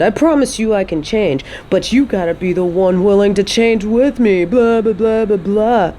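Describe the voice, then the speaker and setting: A woman's voice: on the low side, a little mellow, a little hiss or hum. A woman playing a fed-up, defiant mother confronting her toxic ex in a dramatic audio roleplay, scornful and emphatic. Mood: mocking.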